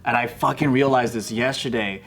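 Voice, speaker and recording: A man's voice: medium pitch, fairly quick, a bit bright, soft background music. Casual man telling a story, swearing freely. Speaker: talk show commentator. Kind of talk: casual group banter, storytelling.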